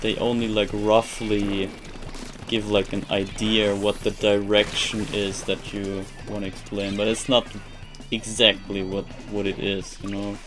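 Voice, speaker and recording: A young man who grew up speaking German rambles through a casual live-stream chat in English. His voice sits low and he talks slowly, often restarting as he hunts for the right words, while quiet game music plays behind him. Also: little pitch movement.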